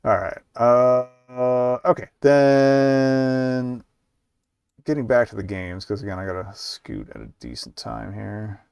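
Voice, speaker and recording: A man's voice: mid-range voice, lively intonation, takes it slowly, creaky, darkish tone, smooth, no echo. A man giving casual live game commentary as a streamer, rambling and hesitant, with muttered asides.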